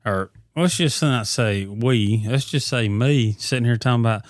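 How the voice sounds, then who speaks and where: A man's voice: mid-range voice, moderate pace, no echo, clean studio sound. A man, co-hosting a hunting podcast in a laid-back, drawling chat. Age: 40s. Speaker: podcast co-host. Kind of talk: casual chat.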